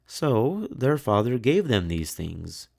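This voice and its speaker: A man reading a children's picture book aloud, measured and dramatic, pausing for suspense. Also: medium pitch, creaky. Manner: measured, expressive, with pauses for suspense. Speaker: children's storyteller.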